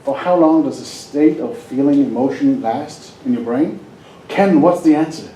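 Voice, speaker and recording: A man's voice: mid-range voice, normal speed, warm tone, roomy sound, slight background noise, poor audio. A man from Denmark with a Danish accent, presenting a live hypnosis seminar and joking with the audience. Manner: jokey, asks the audience questions.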